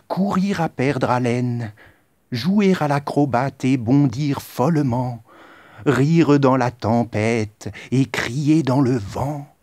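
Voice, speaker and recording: A man reciting poetry in a solemn, deliberate style, with measured phrasing and dramatic pauses. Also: medium pitch, a little mellow, dry recording. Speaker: poet reciting.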